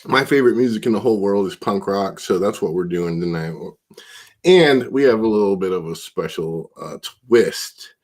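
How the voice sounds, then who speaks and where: A congested man with a fairly low voice hosts a casual podcast. He rambles loosely and swears freely, in the easy style of a late-night talk show. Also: animated, moderate pace, a little mellow. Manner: loose, profane, rambling, hype-man announcing. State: congested, stuffed-up. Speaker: podcast host.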